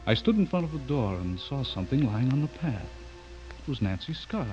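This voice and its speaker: An actor playing a courteous but uneasy bachelor writer in a radio drama, guarded and brooding. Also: male voice, medium pitch, big pitch swings, fairly quick, darkish tone, natural voice. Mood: brooding, troubled. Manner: polite, guarded, brooding when narrating. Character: courteous, uneasy older bachelor writer suspected of being a vampire. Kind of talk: radio drama acting with first-person narration. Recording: dull lo-fi radio recording, music behind.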